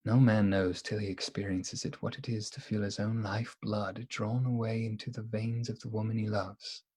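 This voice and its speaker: A man acting in a radio play as an earnest, anxious doctor devoted to the woman he loves, with a halting, emotionally open delivery. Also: fairly low pitch, level tone, a little mellow, breathy edge. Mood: weary narration.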